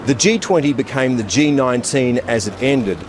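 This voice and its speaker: A man giving a deliberate, critical political commentary. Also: medium pitch, lively intonation, noisy background. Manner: grave, deliberate critical analysis. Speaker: political commentator in a radio clip. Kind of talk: measured spoken commentary.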